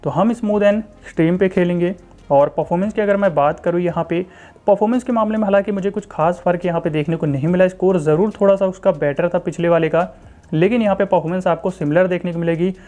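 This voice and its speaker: An Indian-accented man talks through a software review in a casual way. His voice sits a little high for a man, with a distinctly dark, relaxed tone. He explains step by step, speaking straight to viewers and often restating his points. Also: fast. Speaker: tech channel host reviewing phone software. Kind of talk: casual screen-recording walkthrough commentary.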